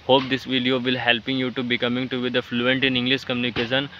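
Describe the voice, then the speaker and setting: Halting Indian man with flat delivery. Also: medium pitch, highs cut off, a little hiss or hum. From India, Jharkhand. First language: Hindi. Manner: halting, many fillers and restarts. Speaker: English-learning vlogger. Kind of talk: casual motivational talk to camera.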